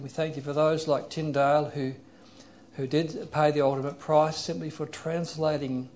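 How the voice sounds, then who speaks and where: A man preaching a sermon in an earnest, explanatory way, leading a prayer and reading scripture passages aloud slowly. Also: fairly high pitch, a little mellow.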